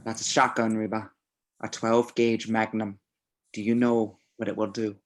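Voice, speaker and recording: A man playing a tormented, unstable killer, halting and menacing, in an amateur screenplay read over a video call. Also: on the low side, little pitch movement, natural voice. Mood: grim menace. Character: tormented, unstable male killer pleading with captive lover. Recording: home video-call audio.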